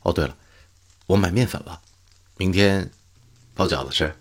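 A man's voice: low-pitched, normal speed. An actor playing an ordinary young father heading home from work in an audio drama. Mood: casual, tired. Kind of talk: low-key audio drama dialogue.

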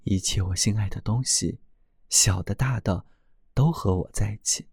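A man voicing an ancient male oak tree in a storybook tale, speaking in a lowered, slow and wondering voice. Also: vocal fry, darkish tone, husky, no echo, studio quality, full character voice. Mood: serene.

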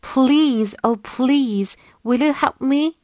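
A woman's voice: high voice, very slow, darkish tone, dry recording, clean studio sound, pitched above the performer's own voice. A woman voicing a small, anxious male bunny in a children's storybook, plaintive and pleading with emphasis.

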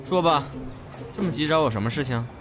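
A man's voice: a little high, lively intonation, fairly quick, natural voice. A man acting a lazy, exasperated teenage gamer in an amateur audio drama, grumbling and put-upon.

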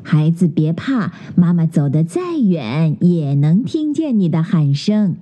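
Tender storybook mother bear, soft and low, mellow tone, voiced by a woman. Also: animated, normal speed, slightly rough, highs cut off. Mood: soothing. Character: gentle caring mother bear.

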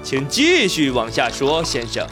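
A man's voice: mid-range voice, huge pitch swings, unhurried, over music. A man from Shandong voicing a curious, skeptical young male reporter in an amateur radio drama, asking probing questions. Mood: questioning. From Shandong, China.

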